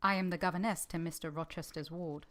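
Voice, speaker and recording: An actress playing a reserved, polite eighteen-year-old English governess in a vintage-style radio drama. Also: female voice, lowish voice, fairly even intonation, brisk, a bit bright, natural voice. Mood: polite, unafraid. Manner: restrained, polite, brief and direct in answers. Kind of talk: vintage radio drama acting with first-person narration.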